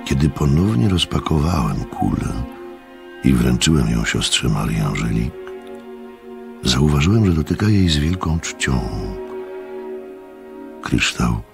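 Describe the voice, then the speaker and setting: A composed storyteller in a radio play. His voice is exceptionally deep with a gravelly creak. He narrates slowly and soberly, with faint music around the words. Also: lively intonation, full character voice. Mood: sober, measured. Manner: measured, sober. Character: composed gentleman narrator recalling a strange experiment.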